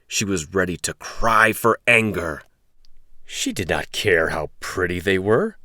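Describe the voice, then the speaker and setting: A man hosting a read-aloud, telling a story to young children in an expressive, emphatic way. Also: lowish voice, no echo, clean studio sound.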